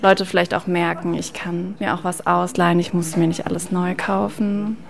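A woman's voice: lowish voice, flat delivery, moderate pace, gravelly. A young woman customer talking casually and enthusiastically. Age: young adult.

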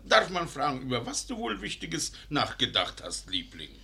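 An actor playing a jovial, fussy, middle-aged landowner father in a comic radio play, excitable and indulgent. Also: medium pitch, normal speed, creaky, heavily acted. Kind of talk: German radio play comedy acting.

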